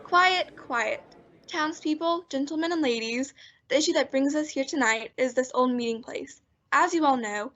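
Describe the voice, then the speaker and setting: Earnest townsperson, played by a woman, high voice, cautious, radio drama, muffled lo-fi recording. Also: a bit bright. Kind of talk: old-time radio drama acting. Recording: dull lo-fi audio.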